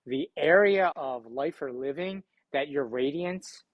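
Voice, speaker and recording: A man's voice: a little high, measured pace, no echo, lo-fi sound. A man with a Philadelphia accent speaking as a podcast guest in an earnest, reflective and motivational conversational way. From Philadelphia, United States. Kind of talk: conversational interview.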